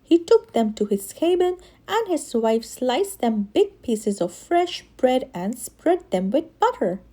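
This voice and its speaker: Animated, high-voiced woman reading to children. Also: slow. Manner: animated, dramatic emphasis. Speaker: children's story reader and channel host. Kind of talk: expressive read-aloud storytelling for kids.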